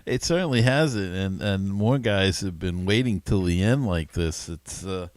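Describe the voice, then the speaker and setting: A man's voice: deep voice, a little slow, no room sound. A man hosting a podcast, chatting casually about sports. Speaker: podcast host.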